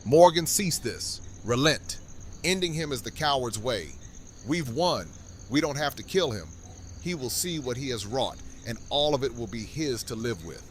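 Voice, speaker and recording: A man's voice: mid-range voice, expressive, moderate pace, slight background noise, close to an everyday voice. An actor playing a noble, theatrical king with formal, courtly diction in an audio drama. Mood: commanding.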